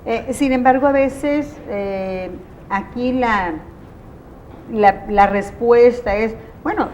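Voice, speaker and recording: A woman's voice: a little high, takes it slowly, a little mellow, a little hiss or hum. A woman with a Mexican Spanish accent hosting an educational TV interview, asking long leading questions in a conversational way. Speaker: TV show host.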